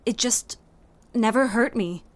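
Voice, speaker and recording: A man's voice: extremely high pitch, slow pace, voice pushed up. A man voicing an earnest, guilt-ridden young female student in an audiobook, with a plaintive, insistent delivery. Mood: confused. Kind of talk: audiobook character dialogue.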